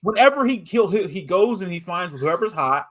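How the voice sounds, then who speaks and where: A man with a slightly high voice chats casually as a podcast host. He is mocking and emphatic, and he hammers his phrases by repeating them. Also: expressive, moderate pace, fairly bright tone. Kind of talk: casual chat.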